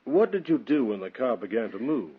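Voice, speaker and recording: An actor playing a polite, methodical police detective with a husky voice in a vintage radio thriller. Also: male voice, medium pitch, very animated, a little fast. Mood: courteous, probing. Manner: apologetic, methodical. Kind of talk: 1940s-60s radio thriller acting. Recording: muffled vintage radio recording.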